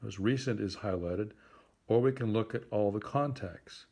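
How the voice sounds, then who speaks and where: A man giving a simple tutorial in a plain, deliberate, instructive way, like someone patiently walking a learner through each step. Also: fairly low pitch, a little mellow. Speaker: instructor helping a phone user.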